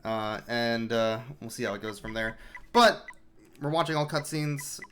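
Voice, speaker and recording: A man's voice: mid-range voice, a little slow, a little hiss or hum. Chatty man commentating a live speedrun. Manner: relaxed, chatty, explaining as he plays. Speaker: speedrunner commentating his run.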